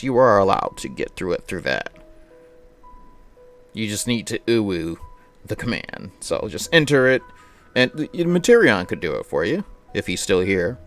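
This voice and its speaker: A man hosting a live game stream, chatting with viewers and reading visual novel narration aloud in an animated, chatty way. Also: low-pitched.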